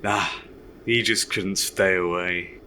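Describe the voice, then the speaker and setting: A man acts out a wry, bookish son in an audio drama. He speaks in a low register at a measured, slow pace with a theatrical edge. A clear room hum sits under the voice. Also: steady tone, sharp tone, full character voice.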